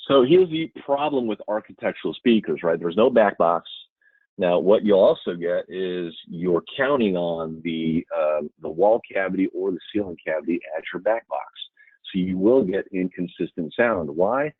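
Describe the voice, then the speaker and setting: A deep male voice with a husky grain, giving an informal sales presentation. He explains step by step and keeps checking in with short question tags. The sound is narrow and dry, like a phone-line webinar. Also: animated, moderate pace, no room sound. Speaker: webinar presenter for a speaker brand. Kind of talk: informal product presentation to dealers. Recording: muffled, phone-like webinar audio.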